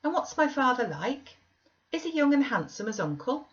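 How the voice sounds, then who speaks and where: Casting: woman to play a frail, fretful little boy for a classic storybook, full of questions, pitched up, slightly muffled sound. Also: sing-song, normal speed. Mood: curious, wondering. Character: frail, anxious, questioning young boy, reluctant and plaintive. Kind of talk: audiobook character reading.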